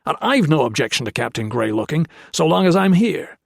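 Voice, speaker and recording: A man's voice: on the high side, very animated, fairly quick, gravelly, dry recording, clean studio sound, pitched above the performer's own voice, exaggerated voice. A male narrator voicing a blunt, shrewd officer who barks orders. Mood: gruff, commanding.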